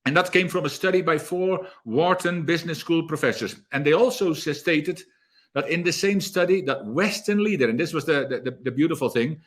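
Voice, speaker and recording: An energetic male speaker giving a keynote on leadership, his voice sitting a little higher than most men's. He talks with drive and often restarts phrases, doubling a word before carrying on. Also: brisk. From South Africa. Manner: energetic, repeats words while thinking. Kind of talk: online keynote talk.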